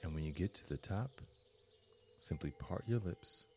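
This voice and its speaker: A man hosting a guided meditation, giving calm, deliberate instructions in measured phrases with long pauses. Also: deep voice, a little hiss or hum. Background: soft meditation music with chimes.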